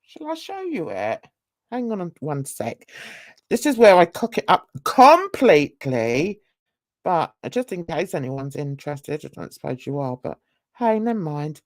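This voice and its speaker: A woman's voice: sits low, very animated, unhurried, no room sound. A woman, chatting casually with livestream viewers in a rambling, self-mocking way and laughing often. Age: 60s. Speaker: livestream host.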